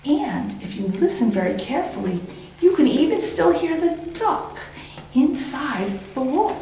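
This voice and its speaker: A woman reads to young children in a highly expressive storytelling style. Her voice is on the high side and swings animatedly, with dramatic pauses and repeated phrases. The room around her rings with heavy echo. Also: slight background noise. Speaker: teacher reading a bedtime story. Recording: very echoey room.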